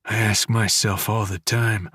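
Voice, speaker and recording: A man's voice: on the low side, steady tone, unhurried, bright, forceful tone, slightly rough, no echo, studio quality, pitched above the performer's own voice, heavily acted. A man voicing a tearful, remorseful old father.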